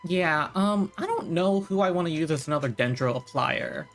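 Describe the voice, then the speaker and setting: A video game streamer chatting casually in a mid-pitched voice. The talk rambles as they think aloud, with soft game music in the background. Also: animated, moderate pace.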